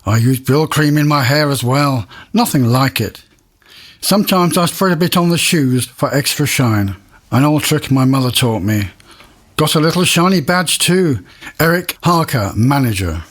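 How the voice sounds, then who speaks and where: A man playing a proud, wistful cinema manager in an audio monologue, confiding and reflective, with a husky voice. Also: mid-range voice, animated, moderate pace, close to an everyday voice.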